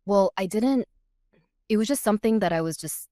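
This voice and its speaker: A woman's voice: medium pitch, lively intonation, moderate pace, mellow, dry recording, clean studio sound. A woman in her thirties, born in Korea with Korean as her first language and raised in California, talks reflectively as a podcast guest, searching for words as she goes. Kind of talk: casual chat.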